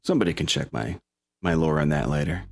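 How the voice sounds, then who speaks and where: A man with a low voice leads a tabletop game as game master. He talks casually and gropes for words, thinking out loud, with music playing underneath. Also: gravelly. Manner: halting, thinking aloud. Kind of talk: casual tabletop roleplay narration and chat.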